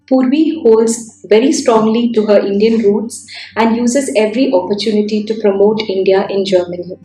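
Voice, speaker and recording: A woman's voice: medium pitch, a little mellow, reverberant, low-quality recording. A woman hosting an interview series, reading a formal scripted introduction before asking her guest a question. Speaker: interview host for a consulate series. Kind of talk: read introduction, then interview.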